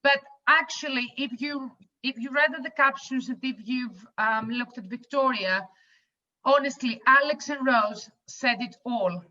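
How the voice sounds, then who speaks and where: British woman presenting online, loud and upbeat, high bright voice, dull call audio. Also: measured pace, distant mic. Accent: British English. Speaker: webinar presenter. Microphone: video call.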